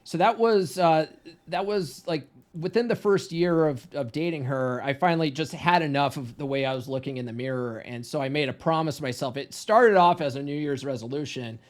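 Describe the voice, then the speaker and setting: A man's voice: a little high, brisk. A man in his forties, a guest coach, telling stories casually and laughing easily. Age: 40s. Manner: chatty storyteller, laughs easily, repeats words when starting. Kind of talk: casual conversation.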